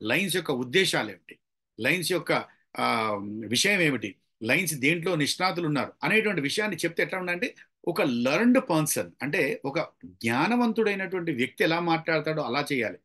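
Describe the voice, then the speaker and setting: Brisk, bright-voiced man teaching. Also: on the high side, expressive. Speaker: public speaking trainer. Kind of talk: interactive training lecture with examples.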